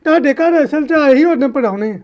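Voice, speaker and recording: A man's voice: very high voice, lively intonation, a little fast. A man with a Punjabi accent making a prank phone call as a vegetable seller, pleading and insistent. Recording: phone call with faint noise.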